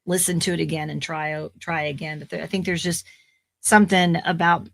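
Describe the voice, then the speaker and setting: Podcast guest, woman in her forties, low-pitched and slightly raspy, rambling and chatty. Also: steady tone, brisk, a bit bright. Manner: chatty, rambling, with fillers and restarts. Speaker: voice actor guest. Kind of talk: casual podcast interview.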